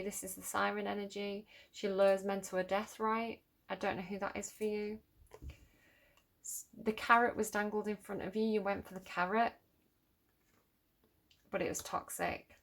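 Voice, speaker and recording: A woman's voice: medium pitch, vocal fry. A woman with a Manchester accent giving a casual tarot reading to camera, musing and repeating phrases as she reads card meanings from a book. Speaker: tarot reader.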